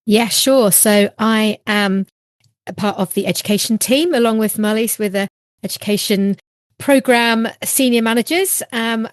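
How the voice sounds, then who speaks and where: A woman with an English accent and a medium-pitched voice talks as a podcast guest. She is chatty and enthusiastic, and the sound is dry with little room in it. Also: dry recording. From northwest England. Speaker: podcast guest, running coach. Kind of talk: casual interview talk.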